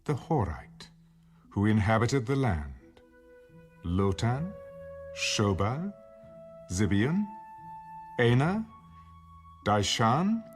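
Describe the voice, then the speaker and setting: A man narrating an audio Bible, reading scripture in a measured, solemn way with careful enunciation. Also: medium pitch, big pitch swings, soft background music.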